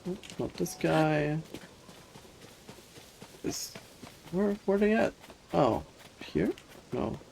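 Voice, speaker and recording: A man's voice: on the high side, big pitch swings, very slow, vocal fry, long pauses, darkish tone, slight background noise, rough recording. A man giving casual, rambling live commentary on a video game, thinking aloud in a self-deprecating way. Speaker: game streamer.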